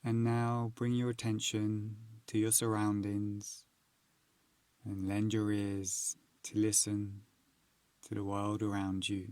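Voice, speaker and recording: A man narrating a guided meditation, giving soothing, measured instructions with long pauses. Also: fairly low pitch, completely flat delivery, darkish tone, smooth, no echo.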